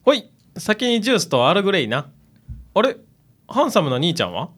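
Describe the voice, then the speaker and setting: A woman's voice: lowish voice, big pitch swings, a little fast, slightly rough. A woman acting as a friendly, casual young man minding a cafe in a radio drama, with a folksy Kansai accent. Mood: breezy, welcoming. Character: breezy, friendly male freeter acting as cafe manager.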